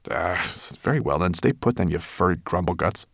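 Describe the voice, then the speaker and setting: A man's voice: low voice, lively intonation, normal speed, gravelly, a bit bright, no echo, clean studio sound, heavily acted. A man voicing a kind, good-humoured boy in a storybook, talking in a soothing, reasonable way. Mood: teasing. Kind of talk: storybook character voice.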